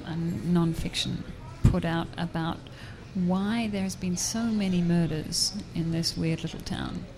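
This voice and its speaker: A woman's voice: fairly low pitch, steady tone, unhurried. A woman, a university professor, chatting in a radio interview, laughing often and restarting her sentences. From Australia. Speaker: university professor and artist guest. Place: busy public fair. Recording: crowd noise behind.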